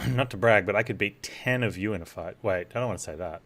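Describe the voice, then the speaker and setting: A man's voice: lowish voice, quick pace, fairly bright tone, slight background noise. A man chatting playfully as he plays a game live, talking to himself and his viewers. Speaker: game streamer. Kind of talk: casual live game commentary.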